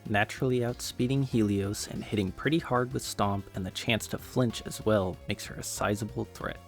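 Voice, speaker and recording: A man's voice: fairly low pitch, gravelly. A man narrating scripted gameplay commentary in a casual, wry, self-deprecating way. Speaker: gaming video creator and narrator.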